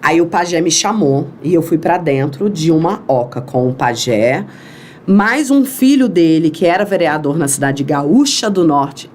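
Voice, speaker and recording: A woman's voice: sits low, unhurried, slight background noise. A woman from Brazil telling a long story as a podcast guest, acting out the voices in it. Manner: animated, acts out quoted dialogue. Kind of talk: storytelling in an interview.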